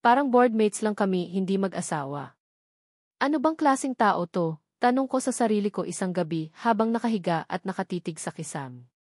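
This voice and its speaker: Brief: woman, mid-pitched voice, expressive first-person story narrator, dry close mic. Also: dry recording.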